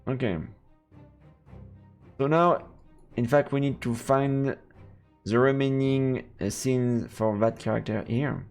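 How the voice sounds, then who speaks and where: A man with a gravelly, creaky voice at an ordinary male pitch plays a visual novel on stream. He reads narration aloud and mutters casual commentary to himself, with faint music playing behind him. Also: fairly even intonation, takes it slowly, pauses often, darkish tone. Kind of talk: casual let's play chat mixed with read-aloud narration.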